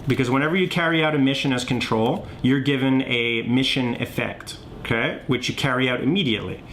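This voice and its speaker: A man in his forties giving an informal board game tutorial, thinking aloud in a halting way, recorded in a home kitchen. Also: mid-range voice, a bit bright. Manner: thinks aloud, halting, often adds "okay?". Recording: noisy home camera audio with room ambience.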